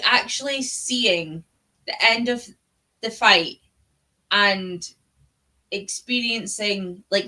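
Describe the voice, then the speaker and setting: A woman's voice: mid-range voice, pushed and bright, poor audio. A young woman hosting a casual book chat, gushing and sweary like an enthusiastic fan. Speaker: book club live show host. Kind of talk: casual chat about books.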